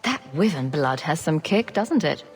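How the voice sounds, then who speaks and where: A woman's voice: low voice, expressive, normal speed, faint music behind. An actress playing a confident female adventurer in a video game, with a dry, teasing, sardonic manner. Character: confident, dryly witty female champion adventurer.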